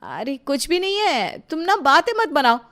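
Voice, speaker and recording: A crafty elder sister-in-law in a cartoon family tale, voiced by a woman, pitched high. She is breezy and wheedling, dodging chores while bossing the younger wife around. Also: sing-song, normal speed, a bit bright. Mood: bossy, dismissive. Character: sly, shirking, bossy elder sister-in-law.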